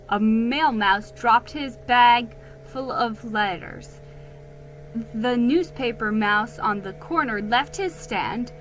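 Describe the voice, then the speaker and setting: A woman giving an expressive, theatrical reading of a children's story. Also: a little high, slight background noise, rough recording. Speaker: storybook reader.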